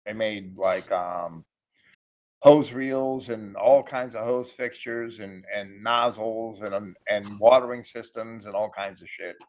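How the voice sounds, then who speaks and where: A man's voice: lowish voice, slow pace. A middle-aged man with a New England accent talks in a loose, rambling way. He repeats his words often. He comes through a muffled, lo-fi voice chat line. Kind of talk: casual group chat.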